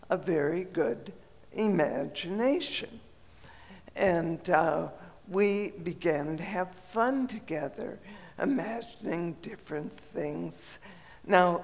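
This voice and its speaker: An older woman from Colorado with a raspy voice, telling a personal story in a slow, gentle way with many pauses. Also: fairly low pitch, long reverb tail. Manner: slow, gentle reminiscing with frequent pauses and fillers.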